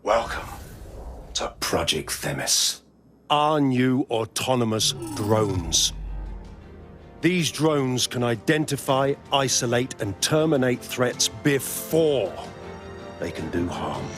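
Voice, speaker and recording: Brief: ruthless tech security chief, controlled and chilling. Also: male voice, mid-range voice, slow pace, faint music behind. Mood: confident. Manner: calm, controlled, menacing. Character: cold ruthless male tech security boss.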